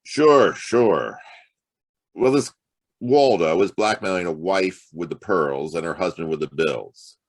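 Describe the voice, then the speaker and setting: An actor playing a wry, streetwise private detective in a hard-boiled audio drama, with a sardonic, conversational delivery. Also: male voice, sits low, takes it slowly, exaggerated voice. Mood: earnest bargaining. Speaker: actor narrating and playing the lead.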